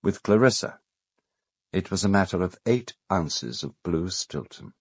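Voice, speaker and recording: An actor with a British accent playing an urbane, cold, self-justifying gentleman in an audio drama, speaking in a measured, articulate, dryly ironic way. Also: sits low, big pitch swings, husky, no room sound, exaggerated voice. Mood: wistful, reflective.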